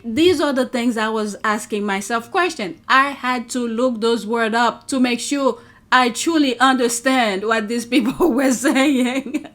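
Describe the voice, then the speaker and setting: Brief: woman host, English not her first language, lively commentary, laughs a lot, fairly high voice. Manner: animated, laughs often, talks to her audience. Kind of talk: casual commentary reacting to clips.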